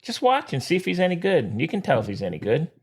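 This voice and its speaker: A man co-hosting a podcast in casual sports chat, ranting with exasperation and jumping up in pitch when worked up. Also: huge pitch swings, fast talker, breathy edge. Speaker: podcast co-host.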